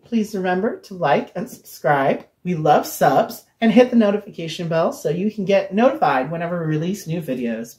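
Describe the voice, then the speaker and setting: Raspy, hoarse woman chatting casually. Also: fairly low pitch, a little mellow, roomy sound. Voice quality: raspy, recovering voice. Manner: chatty, laughs often, rambling asides. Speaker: co-host. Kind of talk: casual chat.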